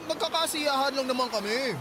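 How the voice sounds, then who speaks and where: A man's voice: extremely high pitch, brisk, very noisy. A man playing a careless young father in a radio drama, protesting and making excuses in a whiny, evasive way. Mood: evasive, flustered.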